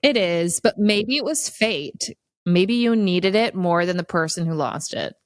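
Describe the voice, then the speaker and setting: A woman's voice: lowish voice, animated, measured pace, no room sound, clean studio sound. A woman chatting casually as a podcast guest, speaking in a frank, opinionated way.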